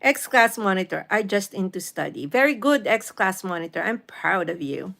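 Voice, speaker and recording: A woman's voice: mid-range voice, expressive, normal speed, gravelly, a bit bright. A woman in her late fifties chats casually as the host of a livestream. She has a Filipino accent and a mid-range voice. She reads viewers' comments aloud and answers them with motherly encouragement, often repeating herself and slipping between English and Tagalog.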